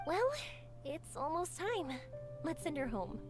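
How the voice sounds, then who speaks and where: A woman's voice: sits high, big pitch swings, slow pace, full character voice. A woman voicing a cheerful, spirited young fireworks maker in a video game, upbeat and affectionate. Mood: warm. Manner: bright, upbeat, affectionate, giggly. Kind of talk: game voice acting. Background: game music.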